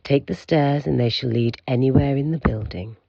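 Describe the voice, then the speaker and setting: A man playing an aloof, pompous keeper of an institute for monsters in an audio drama, speaking in a formal, measured, curt way with a gravelly, husky voice. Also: medium pitch, mellow, lo-fi sound. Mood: formal, guiding.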